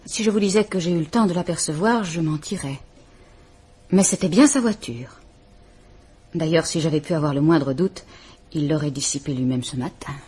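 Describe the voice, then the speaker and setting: An actress playing a frightened, formal estranged wife who is visiting a police inspector, speaking in a restrained, measured way. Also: female voice, sits low, dark tone, husky. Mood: grave, firm. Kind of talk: 1950s French radio drama acting.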